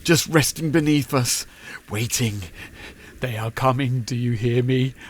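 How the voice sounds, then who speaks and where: A man's voice: medium pitch, unhurried, lightly acted. An actor playing a terrified male field researcher losing his mind in a found-footage horror drama, raving in repeated fragments. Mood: dazed awe. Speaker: field recordist. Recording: noisy field recording, loud roaring background.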